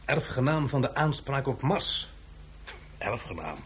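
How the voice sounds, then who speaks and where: An actor playing a puzzled, musing investigator in a science-fiction radio drama, drawing out phrases and questioning them. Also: male voice, mid-range voice, big pitch swings, unhurried, a bit bright, a little hiss or hum. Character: puzzled, pondering male investigator.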